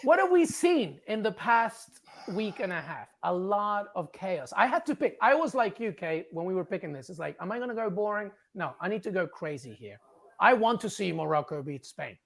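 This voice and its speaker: Sports show panelist with a creaky, gravelly voice, punchy and emphatic in studio discussion. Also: medium pitch, big pitch swings, moderate pace. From England.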